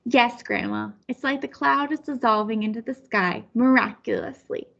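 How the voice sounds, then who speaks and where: A woman's voice: a little high, moderate pace, gravelly, a bit bright, lo-fi sound. A woman voicing a curious, caring little girl in a storybook, wondering and questioning. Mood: eager.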